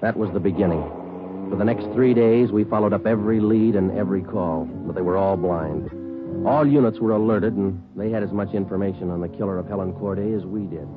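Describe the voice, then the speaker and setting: A man's voice: lowish voice, brisk, lightly acted. An actor playing a terse male police sergeant narrating a case in a clipped, matter-of-fact way, in a 1940s radio drama. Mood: flat, businesslike. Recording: tinny 1949 radio broadcast with music.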